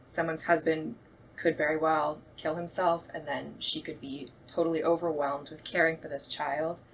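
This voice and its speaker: A woman's voice: sits low, little pitch movement, normal speed, slight background noise, rough recording. A woman in a casual chat as a director, explaining her thoughts in a reflective way. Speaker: radio play director and actress.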